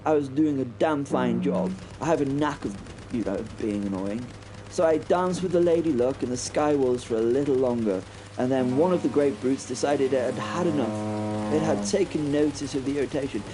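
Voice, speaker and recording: Man doing a cocky WW1 pilot, wry stammering narration, fairly high voice, rain and engine noise under it. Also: natural voice. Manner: wry, breathless storytelling with stammered restarts. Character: brash, cocky male British WW1 pilot. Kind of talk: audio drama first-person narration.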